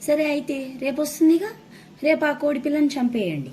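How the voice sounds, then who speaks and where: Woman doing a bossy mama hawk from a kids story, threatening, high voice, kinda soft tone, bit of noise. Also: lively intonation, brisk. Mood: insistent. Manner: commanding, insistent. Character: stern, threatening mother hawk ordering her chicks to kill.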